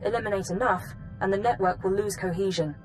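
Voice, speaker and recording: A woman playing a composed female intelligence analyst in a video game, giving briefings in a measured, formal way. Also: mid-range voice, faint music behind, lightly acted, poor audio. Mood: businesslike concern. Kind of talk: scripted video game acting, mission briefing.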